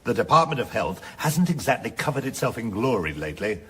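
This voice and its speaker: Harried, animated prime minister. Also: male voice, medium pitch, brisk, breathy edge. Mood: impatient. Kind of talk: naturalistic radio drama acting.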